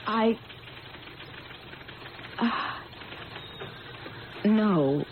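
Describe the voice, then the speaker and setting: A woman's voice: mid-range voice, animated, very slow. A woman playing an idealistic, stubbornly loyal young woman in an old-time radio drama, speaking with insistent, naive sincerity. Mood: defiant, earnest. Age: young adult. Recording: tinny, noisy old radio broadcast.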